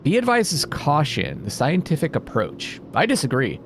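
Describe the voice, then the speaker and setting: A man doing casual let's play commentary, thinking out loud and reading game text aloud. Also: a little high, wildly swooping pitch, normal speed, slight background noise. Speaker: video game player and host. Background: atmospheric game music.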